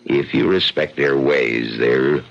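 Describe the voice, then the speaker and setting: A man acting in a radio drama as a folksy, cautionary Western local, with a gravelly voice and plain-spoken, serious delivery. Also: very deep voice, takes it slowly, exaggerated voice. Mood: earnest. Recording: muffled old radio recording.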